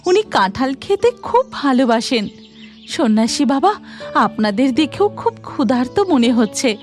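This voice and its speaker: A woman's voice: high voice, big pitch swings, unhurried, soft background music. A woman voicing a devout, humble, hospitable village wife in a Bengali cartoon. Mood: respectful.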